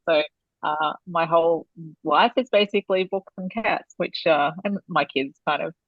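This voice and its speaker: A woman's voice: lowish voice, a little slow, no room sound, dull audio. An Australian woman, an author, chatting casually and giggling often as a podcast guest. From Australia, born in Malaysia. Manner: chatty, giggly, lots of "yeah" and "like". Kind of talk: casual video-call interview.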